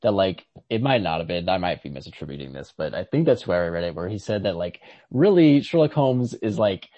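A man's voice: sits low, very animated, a little fast, mellow. A man chatting casually as a podcast co-host, hesitant and often restarting his thoughts. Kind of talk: casual chat about literature. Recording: dull, muffled podcast audio.

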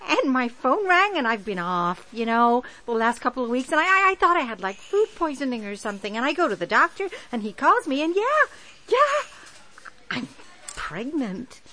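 A woman's voice: high-pitched, very animated, normal speed, sharp tone, full character voice, poor audio. A woman playing a flustered, sardonic young woman on a date in a romantic comedy audio play, stammering, apologetic and dry. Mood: panicked, apologetic.

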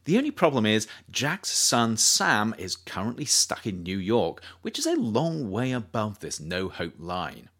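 A man reading his own nonfiction book aloud as an audiobook, with measured delivery and emphatic rises on key phrases. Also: on the low side, huge pitch swings, a bit bright. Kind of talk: audiobook narration.

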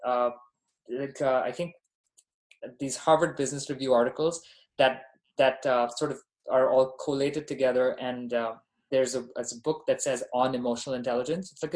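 Podcast guest, male founder raised in Dubai. Medium-pitched voice, casual delivery, earnest and emphatic. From born in Bahrain, grew up in Dubai. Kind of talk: casual conversational interview.

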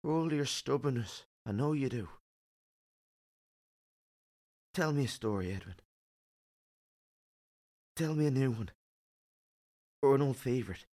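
An actor playing a wounded Saxon fighter in an audio drama, bleak, haunted and resigned to defeat. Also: male voice, mid-range voice, normal speed, pauses often, darkish tone, natural voice. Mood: weary resignation.

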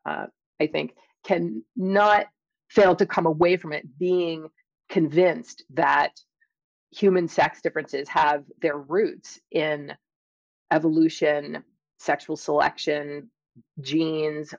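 A middle-aged woman speaking as an interview guest, explaining and telling her experiences in a thoughtful, halting way with drawn-out emphasis. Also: low voice, vocal fry, dry recording, lo-fi sound. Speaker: interview guest, scientist and author. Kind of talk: conversational interview, personal storytelling and explanation.

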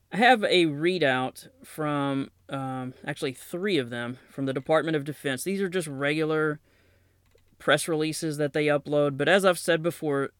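A man's voice: on the high side, smooth, no echo, clean studio sound. A man with a Southern accent hosting a podcast, talking casually through defense news and reading press releases aloud with plain asides. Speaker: podcast host.

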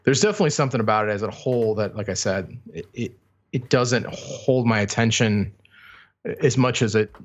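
A man's voice: lowish voice, fairly quick, muffled recording. A man talking casually as a podcast co-host about records, feeling out his phrasing as he speaks. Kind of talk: casual album discussion.

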